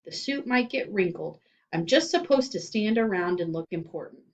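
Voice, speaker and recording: A woman's voice: medium pitch, animated, moderate pace, darkish tone, recorded from a distance, natural voice. A woman voicing a self-important, earnest schoolboy who explains things proudly and matter-of-factly in a children's story. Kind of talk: children's audiobook character voice.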